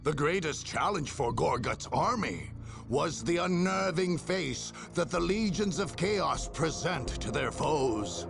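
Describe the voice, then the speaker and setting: Measured, gravelly war-chronicle narrator. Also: male voice, a little high, full character voice. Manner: measured, dramatic. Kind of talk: scripted game narration. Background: music.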